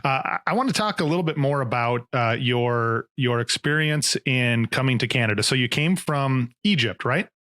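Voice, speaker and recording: A man's voice: mid-range voice, expressive, moderate pace, few pauses, a bit bright, no echo, clean studio sound. A man hosting a podcast interview, who asks his guest questions in a friendly way. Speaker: podcast host.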